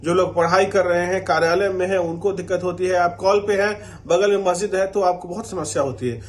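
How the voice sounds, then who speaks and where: A man's voice: high voice, steady tone, a little fast. A man speaking as an interviewee, giving his opinion to the news media.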